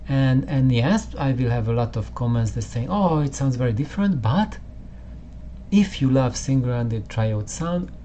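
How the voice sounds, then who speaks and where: Slow, mellow-voiced man talking audio gear. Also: medium pitch, a little hiss or hum. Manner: halting, with many repeated words and restarts. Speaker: audio channel host. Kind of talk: casual explanatory talk to camera. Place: home listening room with poor acoustics.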